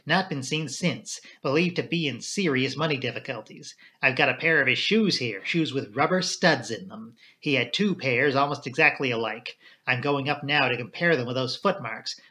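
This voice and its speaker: A man's voice: fairly high pitch, bright, forceful tone. A man voicing a self-assured English police inspector in a mystery audiobook, curt and brusque in manner. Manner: curt, cocksure, toneless. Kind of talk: audiobook character dialogue.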